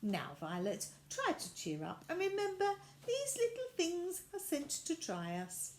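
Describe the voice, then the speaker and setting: A woman voicing a brisk, practical, cheerful lady with an encouraging manner in a children's story. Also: extremely high pitch, huge pitch swings, darkish tone, roomy sound, slight background noise, voice pushed up, full character voice. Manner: encouraging, unflappable, exclaiming.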